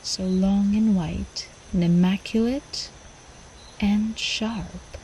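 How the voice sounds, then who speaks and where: A woman voicing an ancient, seductive vampire countess in an intimate ASMR roleplay, teasing and cooing with quiet menace, often slipping into whispers. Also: medium pitch, steady tone, drawn-out words, slight background noise, rough recording. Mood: hungry, amused.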